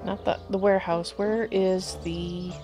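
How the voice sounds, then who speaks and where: A woman streaming a video game live, slightly hoarse from a cold, chatting with viewers and thinking aloud as she plays. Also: mid-range voice, steady tone, a little slow, warm tone, faint music behind. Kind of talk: casual live gameplay commentary.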